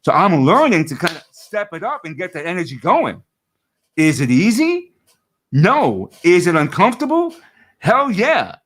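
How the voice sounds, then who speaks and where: A middle-aged man with a low voice, a coach giving an earnest motivational talk over a video call, often restarting and repeating words. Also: wildly swooping pitch, unhurried, a bit bright.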